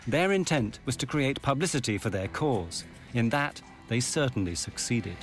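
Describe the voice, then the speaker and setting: A man reads documentary narration in a measured, grave way. A strong musical score runs beneath his voice. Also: medium pitch, lively intonation, soft background music.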